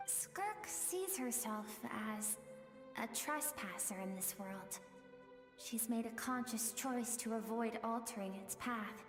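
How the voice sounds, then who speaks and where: A woman playing a composed female companion in a fantasy video game, speaking in measured, reflective scripted lines. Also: medium pitch, reverberant, long reverb tail, natural voice.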